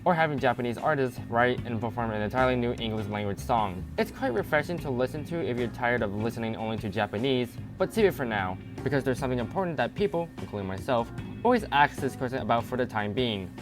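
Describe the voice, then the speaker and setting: Young male narrator for scripted commentary, medium-pitched voice over a clear music bed. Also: lively intonation, fast talker. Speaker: video essay narrator.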